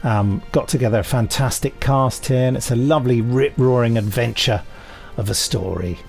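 A man's voice: medium pitch, normal speed, vocal fry, a little mellow. A man hosting a podcast in casual, conversational chat, with some music behind him. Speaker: podcast host.

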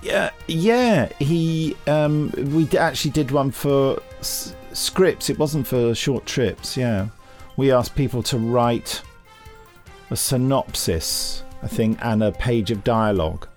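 A man's voice: mid-range voice, slow pace, darkish tone, soft background music. A British man chatting casually as a podcast co-host, in a jovial, somewhat hesitant way. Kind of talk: casual news chat.

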